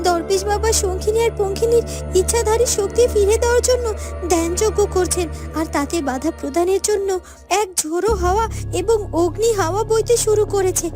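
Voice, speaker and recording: An extremely high, childlike female cartoon creature acted by a woman frets in dramatic exclamations. She repeats words for emphasis in an anxious fairytale manner. Loud music plays behind her.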